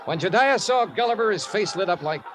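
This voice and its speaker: An actor playing a kindly, wry investigator in a 1950s radio drama, coaxing gently and narrating in an understated way. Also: male voice, high-pitched, lively intonation, brisk, over music. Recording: vintage 1950s radio recording.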